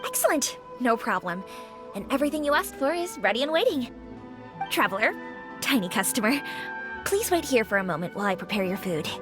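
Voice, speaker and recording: A woman's voice: on the high side, big pitch swings, a little fast. A woman playing a friendly, chatty young restaurant keeper in an anime video game, breezy and a little gossipy. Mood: cheerful, welcoming. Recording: game music behind.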